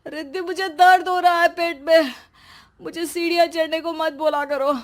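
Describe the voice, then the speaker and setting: A woman's voice: extremely high pitch, steady tone, normal speed. A woman voicing an anxious, whiny pregnant young husband in a comic story, complaining dramatically. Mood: panicked, pained. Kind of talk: acted dialogue in a Hindi comic story.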